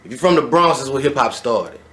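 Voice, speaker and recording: A man hosting a casual interview, talking in an animated way and building his questions out loud. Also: mid-range voice, fairly quick. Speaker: interviewer, show host.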